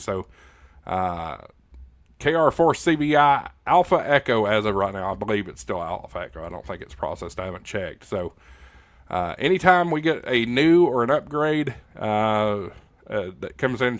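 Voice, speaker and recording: Low-voiced American man, casual livestream host. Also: animated, moderate pace, no room sound. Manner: informal, folksy, joking. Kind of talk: casual livestream chat reading viewer comments.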